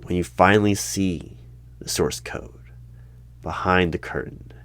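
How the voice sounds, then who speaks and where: A man in an ASMR roleplay as the stern hiring manager of a secret bureau, with deadpan questioning that breaks into sudden loud bursts and whispers. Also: deep voice, a little slow. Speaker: interviewer in a roleplay.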